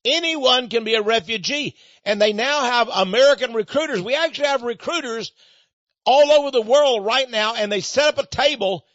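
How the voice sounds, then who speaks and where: A man's voice: very high-pitched, normal speed, a bit bright, no room sound, lo-fi sound. A man hosting a radio talk show, delivering a rambling, sarcastic monologue straight to his listeners. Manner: sarcastic, mocking; rambling, addresses listeners as folks. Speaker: radio host.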